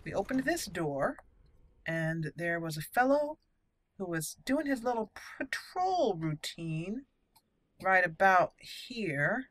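A woman hosting a casual let's play, chatty and expressive as she talks to the game characters. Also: lowish voice, takes it slowly, dry recording. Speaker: gaming channel host. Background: game music.

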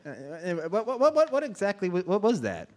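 A flustered radio host in a live comic horror play. He stammers and hesitates in a slightly high voice, with faint noise behind him. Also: huge pitch swings, brisk, a little mellow. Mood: nervous, flustered. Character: flustered, panicky male radio show host in zombie attack.

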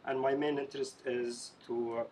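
A man's voice: mid-range voice, level tone, moderate pace, a little mellow, a little hiss or hum. Young man's earnest, filler-heavy introduction. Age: young adult. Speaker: PhD student participant. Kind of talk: self-introduction at a seminar. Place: conference room around a table.

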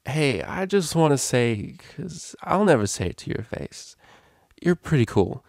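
Man acting out a tipsy female unicorn, storybook character, affectionate, rambling, raised pitch, close dry sound. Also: very animated, unhurried, creaky, a little mellow, dry recording, exaggerated voice. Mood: earnest, gushing. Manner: rambling, informal, hesitant drunken speech. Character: drunk, slurring, affectionate female unicorn DJ pony.

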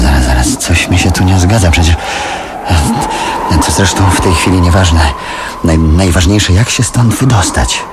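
An actor playing an uneasy witness who narrates a radio drama in a reflective, hesitant way. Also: male voice, sits low. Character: uneasy male narrator, a thoughtful witness in danger. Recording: noisy old radio drama recording.

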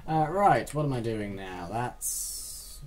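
A man's voice: mid-range voice, animated, slow, a little mellow, a little hiss or hum. A British man hosting a gaming video with casual, rambling commentary, full of silly muttered asides and self-corrections.

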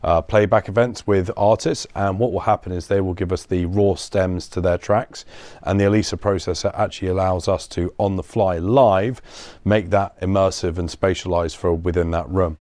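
A man in his thirties with a low voice explains equipment as he guides a tour. He talks informally and conversationally, and the sound is dry, with little room on it. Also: steady tone, moderate pace, darkish tone, no room sound. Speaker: showroom guide, company representative. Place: indoor audio showroom and studio room.

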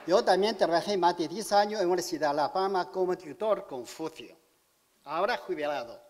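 A man in his sixties from China, speaking halting, simple Spanish with a Chinese accent in a public speech at a podium in a large auditorium. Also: on the high side. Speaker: community speaker at civic event. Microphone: podium microphone. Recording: hall reverb.

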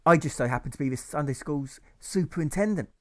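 A man's voice: on the high side, quick pace, natural voice. A man playing a riled, protective male church superintendent who speaks sternly and indignantly.

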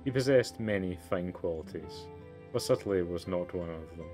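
Man reading as a low, formal storybook narrator. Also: animated, normal speed, darkish tone, faint music behind. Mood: measured, solemn. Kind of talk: scripted narration.

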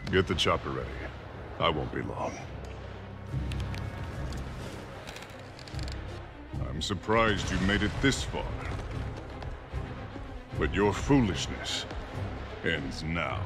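Menacing gravelly crime lord. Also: male voice, low-pitched, big pitch swings, a little slow, long pauses, music in the background, exaggerated voice. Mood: cold menace. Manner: menacing, pompous. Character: big middle-aged crime lord, arrogant, furious villain. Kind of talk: dramatic video game acting.

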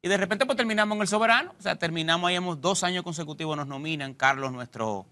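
A man's voice: high-pitched, fast. A man in his thirties with a Dominican accent, a stand-up comedian talking animatedly as a guest on a studio interview show. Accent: Dominican Spanish. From Dominican Republic. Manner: gestures with his hands, lively and joking. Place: TV studio set.

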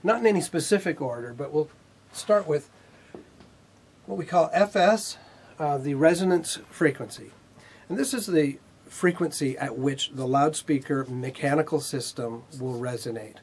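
A man in his fifties giving a technical lecture in a measured, explanatory way with pauses mid-sentence, his voice slightly husky. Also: a little high, darkish tone. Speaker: instructor, R&D manager. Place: indoor video studio with whiteboard.

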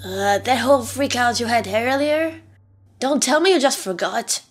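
A woman's voice: a little high, normal speed, natural voice. A woman voicing a brash, hot-tempered teenage monster girl in a dramatic comic dub, blunt and confrontational but caring underneath. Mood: suspicious. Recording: background music under the voice. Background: music.

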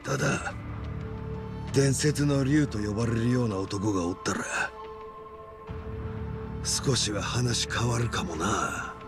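An actor playing a scheming yakuza officer with a Kansai accent and a gravelly voice, persuading with slow swagger in a game cutscene. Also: male voice, fairly low pitch. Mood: measured, calculating. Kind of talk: dramatic video game cutscene acting. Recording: dramatic music under the voice.

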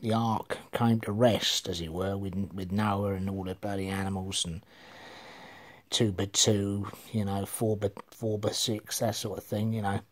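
A man's voice: fairly low pitch, little pitch movement, a little slow. A middle-aged man rambling casually to his phone camera in a living room, swearing freely and trailing off. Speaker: vlogger. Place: living room with bookshelves, indoors. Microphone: phone camera held at arm's length.

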